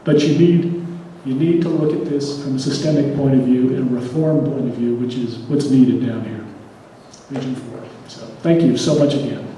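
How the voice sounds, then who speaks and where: A man with a dark, mellow voice gives a rambling public comment, heavy with fillers and restarts. He sits far from the microphone in a large, echoing hall, and faint noise sits under the recording. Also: mid-range voice, steady tone, moderate pace. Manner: hesitant, many fillers and restarts. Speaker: community member speaking at a public meeting.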